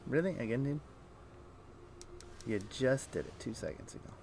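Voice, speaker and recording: Gravelly man casually streaming a game. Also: medium pitch, sing-song, normal speed, a little mellow, slight background noise. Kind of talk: casual live game commentary to chat.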